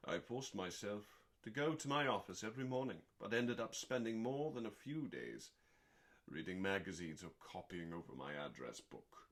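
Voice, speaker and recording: A man reading a book aloud as a show host, in a deliberate, dramatic bedtime-story style with pauses and drawn-out words. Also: fairly low pitch, big pitch swings, fairly bright tone. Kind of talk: slow dramatic book reading, meant as calming bedtime listening.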